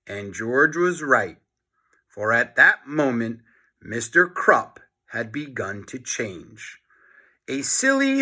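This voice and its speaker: Male storyteller, children's narration, forceful bright voice, unhurried, dramatic. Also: medium pitch. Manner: dramatic, animated asides to young listeners. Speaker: children's book reader.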